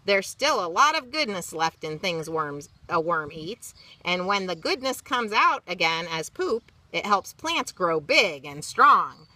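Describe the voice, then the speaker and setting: A woman's voice: lowish voice, big pitch swings, a little slow, few pauses, pushed and bright, no echo. A woman reading a picture book to children, expressively, with chatty asides and simple explanations. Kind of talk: read-aloud with chatty asides.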